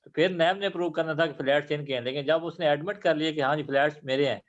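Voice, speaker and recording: A man's voice: on the high side, fast, highs cut off. A man with a Pakistani accent reasoning aloud conversationally as a student in a classroom discussion. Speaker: law student.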